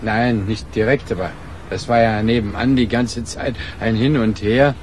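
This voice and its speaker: Actor as an evasive, low-voiced male neighbour. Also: fairly even intonation, normal speed, a little hiss or hum, close to an everyday voice. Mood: evasive, uneasy. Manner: evasive, trailing off. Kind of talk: naturalistic radio crime drama acting.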